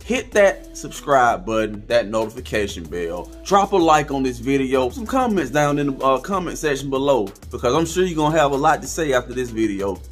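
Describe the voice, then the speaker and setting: A man's voice: mid-range voice, very animated, a little fast, few pauses, faint music behind. A man in his thirties, a vlogger and truck driver, giving an emphatic, preachy direct-to-camera rant in a small room. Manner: emphatic, preachy, repeats phrases for effect. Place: small indoor room with kitchen cabinets.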